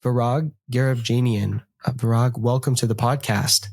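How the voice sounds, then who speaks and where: A man's voice: mid-range voice, steady tone, normal speed, creaky, darkish tone, no echo. A man hosting a podcast, introducing a guest and asking questions in a conversational style. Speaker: podcast host. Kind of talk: podcast introduction and interview talk.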